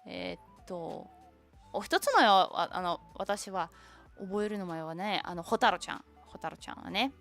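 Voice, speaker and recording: A young woman whose first language is Tatar, chatting casually on a stream, halting and searching for words, with many fillers. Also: fairly low pitch, lively intonation, gravelly, fairly bright tone, soft background music. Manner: switches between Japanese and English. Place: lives in Japan.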